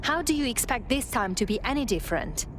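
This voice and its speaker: A woman's voice: a little high, expressive, fast talker, slight background noise, natural voice. An actress playing a skeptical, commanding female resistance operative, blunt and challenging, in a video game.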